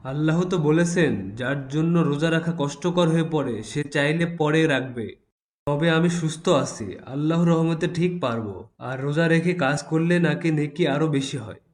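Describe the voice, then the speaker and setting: A man playing a pious, hardworking rickshaw-puller father in a cartoon, speaking calmly and earnestly with gentle moralizing. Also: on the high side, normal speed, natural voice. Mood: earnest, reassuring. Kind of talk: Bengali Islamic cartoon dialogue.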